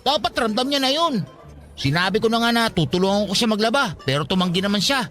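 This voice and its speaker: An actor playing a lovestruck, playful young cook who teases and banters in a Tagalog radio drama. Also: male voice, high-pitched, expressive, fast talker, a bit bright, soft background music. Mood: mock annoyed. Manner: teasing, bantering. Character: lovestruck joking short man, fast-food cook, playful.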